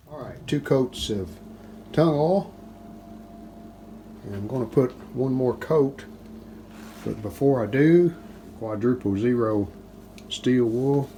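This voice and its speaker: A man giving casual, plainspoken, unhurried narration of hands-on workshop work, like a DIY video host. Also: mid-range voice, mellow, slight background noise. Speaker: host of a restoration channel.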